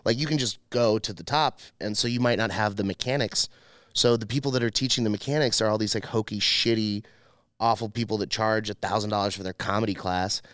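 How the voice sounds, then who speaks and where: A man's voice: fairly low pitch, steady tone, brisk, a bit bright, no room sound, clean studio sound. A young man hosting a podcast as a comedian, chatting casually with a big, emphatic, profane voice.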